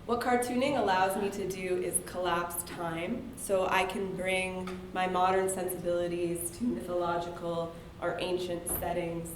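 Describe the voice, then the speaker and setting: A woman's voice: fairly low pitch, little pitch movement, moderate pace, few pauses, reverberant, a little hiss or hum. A woman cartoonist speaking to an audience at a reading, losing her voice and talking in a chatty, self-deprecating way with filler words. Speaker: cartoonist and author giving a talk. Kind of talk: casual public talk to an audience. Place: university event hall.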